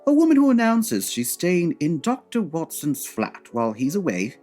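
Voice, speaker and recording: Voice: mid-range voice, wildly swooping pitch, normal speed, mellow, soft background music. An actor playing a haughty male Victorian detective in a comedy audio drama, pompous, precise and formal. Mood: smug, lecturing.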